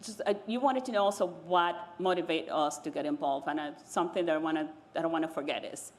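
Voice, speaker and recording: Dominican-born woman, low-pitched, measured panelist. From Dominican Republic. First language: Spanish. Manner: measured, pausing, searching for words. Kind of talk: personal story on a panel.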